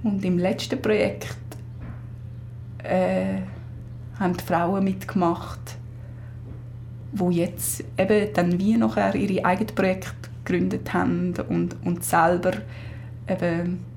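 A female project leader speaks in a low, gravelly voice with a flat melody. She rambles through her answers with many restarts, and a faint hum runs underneath the recording. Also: takes it slowly, long pauses. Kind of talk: interview answers.

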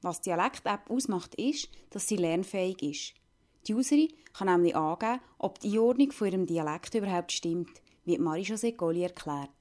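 A woman's voice: lowish voice, expressive, a little fast, a little mellow. A woman with a Lucerne Swiss German accent, narrating a scripted radio report as a reporter.

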